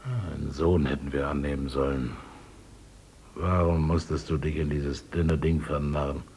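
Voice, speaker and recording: A man's voice: very deep voice, fairly even intonation, moderate pace, darkish tone, a little hiss or hum. An older actor playing a resentful miller, a former journeyman who grumbles and hesitates.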